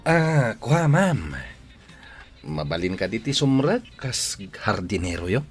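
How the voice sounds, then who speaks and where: A man with an Ilocano accent acting an eager, polite working man in a radio drama, with a husky voice. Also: on the low side, big pitch swings, a little slow.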